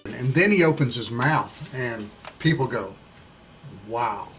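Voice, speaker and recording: A man's voice: mid-range voice, expressive, takes it slowly, a bit bright, soft background music, low-quality recording. A man working as a booking agent, speaking in an interview with an earnest, admiring storytelling manner. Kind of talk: interview talk.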